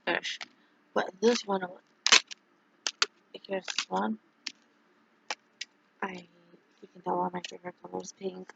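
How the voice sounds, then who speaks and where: Streamer, casual chat; heavy vocal fry, breathy edge, dull lo-fi recording, rambling delivery. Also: mid-range voice, a little hiss or hum. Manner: rambling, halting, many fillers and restarts.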